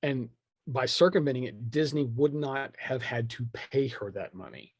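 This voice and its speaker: A man speaks as an opinionated podcast guest in a very dry recording. His voice sits at a middle pitch, and he talks in loose, slangy conversation, often voicing imagined quotes. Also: moderate pace, slightly rough, no echo. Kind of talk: animated casual conversation.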